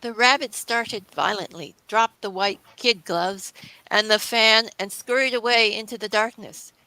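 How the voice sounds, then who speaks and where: Female storybook narrator, scripted read: bright tone, dry recording. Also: a little high, steady tone, unhurried, dry recording, poor audio.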